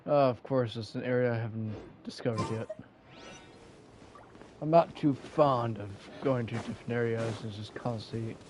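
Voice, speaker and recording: A man's voice: medium pitch, normal speed. A man giving casual, offhand gameplay commentary as he plays. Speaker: gamer. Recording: clear noise and clicks behind.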